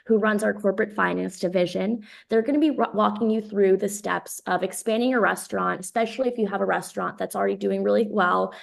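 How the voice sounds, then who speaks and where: Brief: woman webinar host, mid-pitched, creaky fry, upbeat and casual. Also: fast talker, hardly any pauses. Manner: upbeat, with frequent ums. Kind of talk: webinar introduction.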